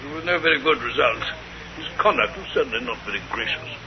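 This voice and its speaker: An actor playing a detective's mild, curious English companion in 1930s radio style. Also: male voice, medium pitch, animated, fast, natural voice. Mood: inquiring. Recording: muffled old radio recording, crowd-like background sound.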